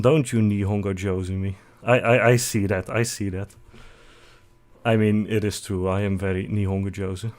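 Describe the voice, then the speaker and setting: A man's voice: lowish voice, a little mellow. A young man with a Dutch accent, a native Dutch speaker, casually introducing himself on a livestream, rambling with lots of fillers and self-deprecating jokes. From Netherlands. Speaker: VTuber streamer.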